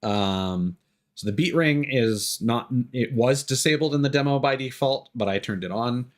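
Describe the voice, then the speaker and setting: A man's voice: medium pitch, normal speed, a little hiss or hum. A man giving casual live gameplay commentary, rambling, swearing and joking dryly. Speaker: game streamer.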